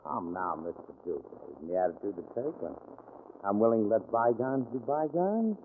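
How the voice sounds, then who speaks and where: An American man playing a menacing gangster boss with false politeness and calm threats, in a 1940s radio drama style. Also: fairly low pitch, normal speed. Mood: silky, feigned regret. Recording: tinny, noisy 1940s radio recording.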